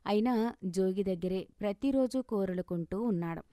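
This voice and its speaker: Mid-pitched woman storyteller, dry close sound. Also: moderate pace, warm tone, no echo. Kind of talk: scripted moral story narration.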